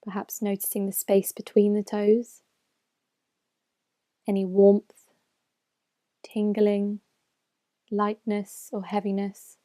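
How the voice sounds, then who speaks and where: A woman guides a meditation in a mellow, relaxed voice. Her intonation stays very even and flat, and her phrases are gentle and measured. The sound is dry and close, with no room around it. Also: medium pitch, no echo. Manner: gentle, measured, unhurried instruction with soft phrase endings. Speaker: mindfulness meditation teacher. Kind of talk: slow guided meditation narration.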